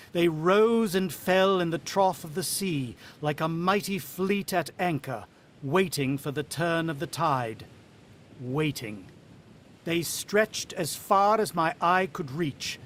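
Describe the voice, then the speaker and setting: A man's voice: fairly high pitch, a bit bright, slight background noise. An actor playing a proud, short-tempered, condescending husband who also narrates his ordeal in a radio drama. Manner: condescending, irritable. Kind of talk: radio drama acting with first-person narration.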